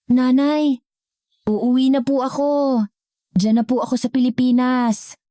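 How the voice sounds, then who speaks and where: A woman's voice: high-pitched, fairly even intonation, unhurried, dark tone, dry recording, studio quality, pitched above the performer's own voice. Lady doing a loving grown-up filipino son, eager, voice lifted way above her usual. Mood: eager, longing. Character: affectionate adult son longing for home. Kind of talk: dramatic story reading.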